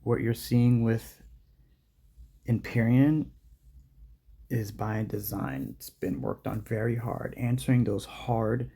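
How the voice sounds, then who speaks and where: A man's voice: lowish voice, slow pace, creaky, pauses often, warm tone, slightly rough. A man presenting a demo, talking casually and directly to his viewers. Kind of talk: casual vlog talk to viewers.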